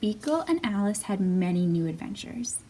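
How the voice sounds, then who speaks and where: A woman's voice: medium pitch, big pitch swings, moderate pace, dark tone. A woman hosting a storytime for young children, talking in an encouraging, simple way as she reads aloud and leads activities. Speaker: children's library storytime host. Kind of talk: read-aloud and sing-along for young children. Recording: distant mic with faint background noise.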